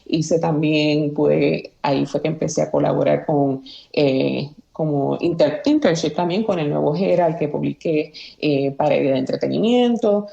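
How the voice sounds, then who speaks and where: Puerto rican woman in her 30s, low and gravelly, kinda dull remote-call audio. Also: moderate pace, warm tone. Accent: Puerto Rican Spanish. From Aguada, Puerto Rico. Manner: hesitant, many fillers and restarts. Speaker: guest journalist. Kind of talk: casual interview chat.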